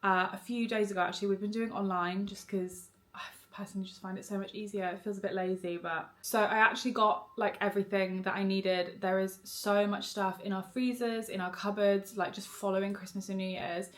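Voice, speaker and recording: A young woman vlogging on her phone in a relaxed, chatty way, a bit bunged up from a cold. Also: medium pitch, a little fast. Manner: chatty and relaxed, rambling with frequent "like". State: congested, bunged up nose. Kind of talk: chatty vlog talk to camera. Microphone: phone camera.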